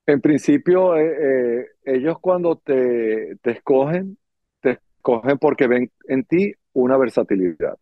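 A middle-aged man with a Venezuelan accent, telling stories as an interview guest in an animated, theatrical way and acting out bits of dialogue. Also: mid-range voice, unhurried, dry recording, phone-quality sound. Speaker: guest, circus performer and dancer. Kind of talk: conversational storytelling in an interview.

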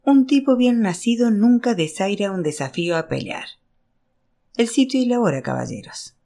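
A reader playing a pompous little gentleman artist. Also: mid-range voice, lively intonation, normal speed, vocal fry. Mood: solemn, grave. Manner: pompous, ceremonious, declamatory. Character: tiny pompous Bolivian male artist, solemn, humorless, grandiloquent.

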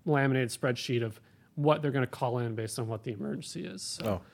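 A man's voice: mid-range voice, brisk, creaky. Plain-spoken male air traffic controller. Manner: plain-spoken, practical explainer. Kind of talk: casual interview answers.

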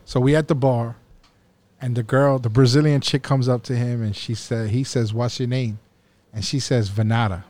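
A young man co-hosting a podcast, telling a bar story in casual banter with friends and laughing loudly. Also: mid-range voice, fairly even intonation, moderate pace, no room sound. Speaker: podcast co-host.

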